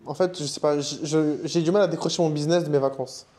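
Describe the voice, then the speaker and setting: A man's voice: on the high side, fairly even intonation, fast, dark tone. A young man raised in Canada and Switzerland, a guest in a casual interview, talking in a slangy conversational way. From Morocco, raised in Canada and Switzerland.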